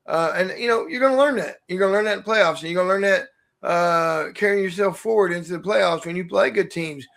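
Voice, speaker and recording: Coach guy, high gravelly voice, thinks out loud about the rules. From Oklahoma. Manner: thoughtful, searching for words. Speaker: basketball coach. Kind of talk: casual sports chat.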